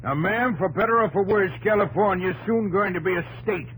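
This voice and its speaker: Blustery frontier vigilante leader, American accent, highish voice, folksy, fiery, vintage radio, light noise. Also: male voice, fairly quick, a bit bright. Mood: fiercely righteous. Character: fiery frontier newspaper publisher, hard-nosed vigilante leader. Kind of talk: 1950s radio drama acting. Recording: noisy old radio broadcast with hum.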